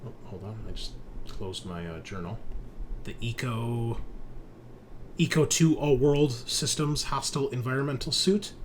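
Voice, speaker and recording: A man narrating a tabletop roleplaying game as game master, improvising with pauses. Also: medium pitch, slight background noise. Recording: music playing under the voice. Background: music.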